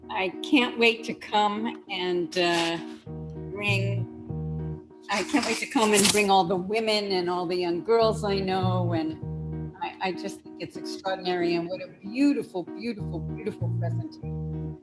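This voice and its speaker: Effusive, moved woman, guitar loud behind. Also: medium pitch, a little slow, poor audio.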